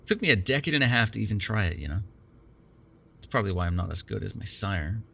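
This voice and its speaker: A man's voice: fairly low pitch, animated, fast talker, vocal fry, bright, forceful tone, slight background noise, heavily acted. A man playing a chatty, defensive vampire captor in an intimate ASMR roleplay, rambling casually with plenty of swearing. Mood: wry, confiding. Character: chatty, defensive, self-deprecating male vampire captor with a soft spot.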